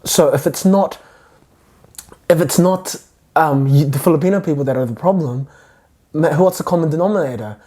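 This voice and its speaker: A woman's voice: low voice, moderate pace, long pauses, mellow. A young woman, co-hosting a podcast and talking candidly and reflectively. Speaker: podcast co-host. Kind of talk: casual conversation.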